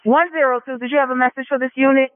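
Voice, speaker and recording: Very high, quick voice on tinny radio. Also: steady tone, dry recording. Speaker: police officer calling in by radio. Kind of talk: terse radio traffic. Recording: thin phone-like police radio.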